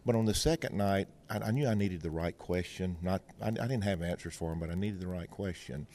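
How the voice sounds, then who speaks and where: Male interview guest, Mississippi drawl, low dark voice, folksy expert delivery, faint noise behind. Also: fairly quick. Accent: Mississippi Southern American. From Mississippi, United States. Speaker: veterinarian, technical consultant, interview guest. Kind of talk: explanatory interview talk with anecdotes and analogies.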